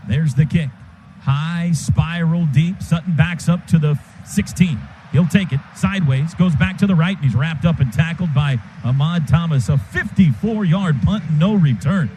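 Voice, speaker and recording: A man doing live radio play-by-play of a football game in clipped, rapid calls that burst into shouts on big plays. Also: fairly high pitch, slight background noise. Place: stadium radio booth.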